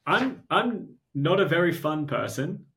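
A man's voice: on the high side, big pitch swings, a little slow, a bit bright. A young adult man with an Australian accent, a podcast guest telling his story in a casual, self-deprecating way with frequent restarts. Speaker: podcast guest, startup founder.

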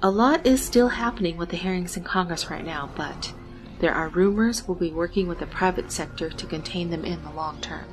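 A woman's voice: on the low side, brisk, music in the background, close to an everyday voice. A woman acting an earnest news reporter in an audio drama. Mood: serious.

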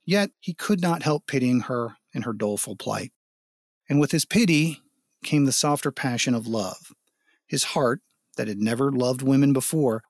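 Casting: male folk tale narrator, mid-range voice, expressive dramatic reading with explanatory asides, dry studio-like sound. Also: medium pitch, dry recording. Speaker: storyteller.

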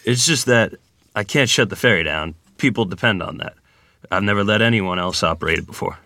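A man acting an earnest, awkward rural Missouri ferryman groom from the 1920s at a screenplay table read, plain-spoken and halting. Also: lowish voice, sharp tone, dry recording. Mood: sheepish.

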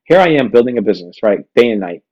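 A man's voice: fairly low pitch, lively intonation, fast, highs cut off. A man hosting a podcast, chatting in a casual, informal, slangy interview style. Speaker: podcast host.

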